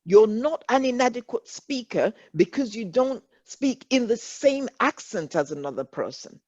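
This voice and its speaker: A woman's voice: on the high side, sing-song, moderate pace, darkish tone, dry recording. A woman with an English accent leading a workshop, earnest and reassuring, often repeating her points. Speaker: workshop facilitator. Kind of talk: encouraging workshop talk. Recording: dull lo-fi online call audio.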